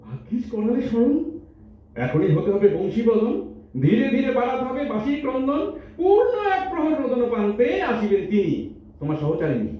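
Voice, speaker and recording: A man plays a playful young god in love, high-voiced for a man and theatrical, speaking in lilting rhymed verse. He sweet-talks and stammers his protests in a stage audio drama, heard distantly in a very echoey hall. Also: very animated, normal speed. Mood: coaxing. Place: large multipurpose hall, on stage. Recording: echoey hall, distant mic, dull sound.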